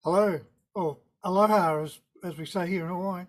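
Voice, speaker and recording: An older man with a broad Tasmanian accent, talking easy and unhurried over a webcam. His voice sits a little high for a man, and he wanders through his stories with dry understatement. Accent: Tasmanian Australian. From Tasmania, Australia. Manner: relaxed, rambling storyteller with laid-back understatement. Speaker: surf photographer guest. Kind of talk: casual interview on a video call. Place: indoor room with a virtual wave backdrop. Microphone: video call webcam.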